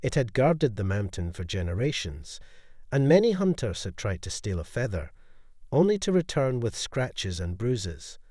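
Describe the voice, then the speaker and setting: A male storyteller reads a bedtime folktale with a lively, swooping melody. His tone is mellow and soft-edged, and his delivery is expressive and dramatic. The sound is dry and close. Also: on the low side, normal speed, no echo. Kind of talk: scripted bedtime folktale narration.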